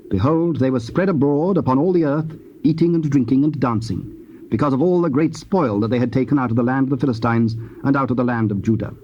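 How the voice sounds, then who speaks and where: A man narrates scripture with solemn formality and measured phrasing. His tone is soft and dark. The sound is a muffled old cassette with faint hiss. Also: medium pitch. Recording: dull, hissy old cassette tape.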